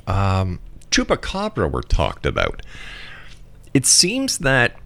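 Man, radio interview host, low pitch, lively swooping intonation. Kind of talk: radio interview hosting and announcing.

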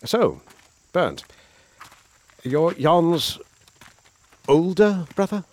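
A man's voice: fairly high pitch, big pitch swings, drawn-out words, full character voice. An actor playing a polite young war veteran who narrates his journal and stammers hesitantly, in a radio drama. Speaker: narrator and lead character.

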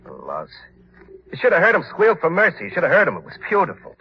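An unhinged gloating gangster, swooping voice. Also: male voice, medium pitch, very animated, breathy edge, dry recording. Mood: crazed glee. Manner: taunting, drawn-out gloating with maniacal laughter. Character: unhinged, vengeful gangster feigning death, gloating killer. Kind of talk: old radio crime drama acting. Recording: dull lo-fi old radio, background hiss.

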